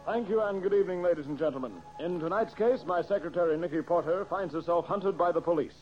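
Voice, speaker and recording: An American man playing an earnest, brisk young amateur detective in an old-time radio drama. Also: high voice, soft background music, lightly acted. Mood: suave, dramatic. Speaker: detective hero and show host. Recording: muffled, hissy old radio recording.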